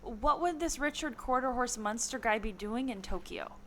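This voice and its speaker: A woman acting a skeptical but game adventuring companion in a scripted audio play, expressive and questioning. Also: fairly high pitch, moderate pace, slight background noise.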